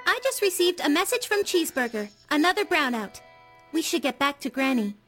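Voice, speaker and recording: A prim, formal young woman, voiced by an actress speaks in stiff, precise sentences. Her voice is very high and moves a lot in pitch. She is a character in a comedic audio drama. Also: very animated, a little fast, a bit bright, soft background music.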